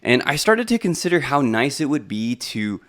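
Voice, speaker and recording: A man's voice: medium pitch, sing-song, moderate pace, a bit bright. A man in his thirties from California, talking casually to a vlog camera about his own life, with lots of fillers and restarts. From Los Angeles, California. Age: 30s. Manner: chatty, with frequent fillers and restarts. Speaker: YouTube host.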